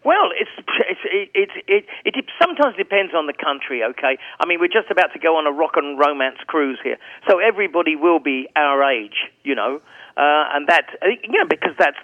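Gravelly older man on a phone line. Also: fairly high pitch, dry recording. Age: 70s. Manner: chatty, rambling with restarts and anecdotes. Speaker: guest singer. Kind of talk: casual interview chat.

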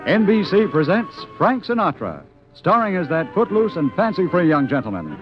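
A man's voice: sits high, big pitch swings, moderate pace, over music. A man announcing a 1950s radio programme in a scripted introduction. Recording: muffled 1950s radio broadcast.

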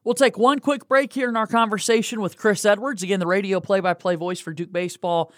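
A man's voice: sits high, fairly even intonation, a little fast, fairly bright tone, no room sound, clean studio sound. A man hosting a sports podcast, speaking in a conversational broadcast style. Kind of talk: conversational interview and sponsor reads.

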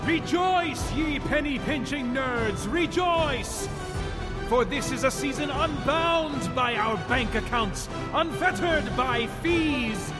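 A grandiose herald proclaims in archaic, mock-epic style. The voice is very high and slow, bombastic in every phrase, with loud music underneath. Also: male voice. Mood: triumphant, grandiose. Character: grandiose male herald proclaiming a legendary free season. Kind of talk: mock-epic announcer intro.

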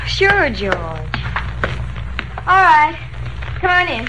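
An actress playing an obedient gangster's sweetheart in a 1940s radio drama, obliging and unhurried. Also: female voice, very high-pitched, very animated, music in the background, exaggerated voice, low-quality recording. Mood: obedient, calm. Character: dutiful, soft-spoken gangster's sweetheart, obedient woman. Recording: old 1940s radio recording.

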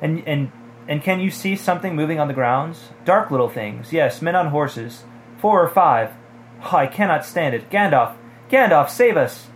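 A man voicing a frightened, excitable young hobbit from a storybook, with urgent pleading and exclamations. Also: a little high, normal speed, a little hiss or hum, pitched above the performer's own voice. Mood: anxious, panicky.

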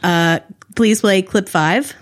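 A woman's voice: medium pitch, slow, a little hiss or hum. A woman co-hosting a casual TV recap podcast, talking in a wry, snarky way. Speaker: podcast co-host.

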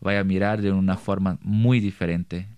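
A young man from Brazil speaking Spanish with a Brazilian Portuguese accent, a guest on a radio show who pauses often to search for words. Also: deep voice, fairly even intonation, a little mellow, clean tone, dry recording, dull audio. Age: young adult. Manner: hesitant, searching for words in a second language. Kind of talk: radio interview.

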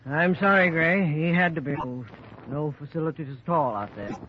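Through a dull, noisy vintage radio recording, a male surgeon played by an actor speaks with professional courtesy. His voice sits fairly high, and his delivery is clipped, formal and clinical. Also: moderate pace, lightly acted. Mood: defensive, clinical. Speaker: actor in supporting part. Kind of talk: 1950s radio drama acting. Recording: muffled, noisy old radio broadcast.

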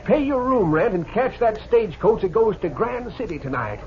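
An actor playing a feisty, generous old gold prospector, folksy and excitable, in a 1950s western radio drama. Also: male voice, high-pitched, moderate pace. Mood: firm, indignant. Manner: folksy, plain-spoken, excitable. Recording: dull, noisy old radio recording.

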